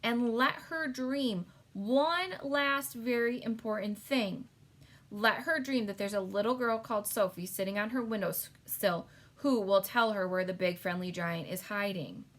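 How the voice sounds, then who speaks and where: A woman voicing a clever, excited little storybook girl who gives emphatic instructions. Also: mid-range voice, a little slow, lightly acted.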